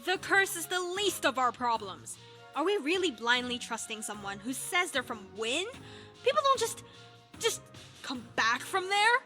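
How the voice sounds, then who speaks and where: An actress playing a blunt, sceptical, sullen young resistance fighter in a video game, sarcastic and combative. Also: female voice, high-pitched, very animated, measured pace, bright, forceful tone, heavily acted. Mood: distrustful. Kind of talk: video game quest dialogue. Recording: faint background music.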